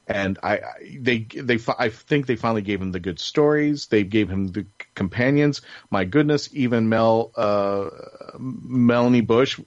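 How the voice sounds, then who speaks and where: A man hosting a casual panel chat, speaking haltingly and often repeating and rephrasing his words. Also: on the low side, no echo. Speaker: podcast host. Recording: dull, lo-fi close sound.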